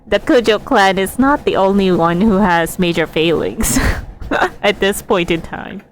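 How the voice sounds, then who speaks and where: A woman streaming a video game, giving casual, halting commentary as she reacts. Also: mid-range voice, a little hiss or hum, low-quality recording. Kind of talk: casual live gameplay commentary.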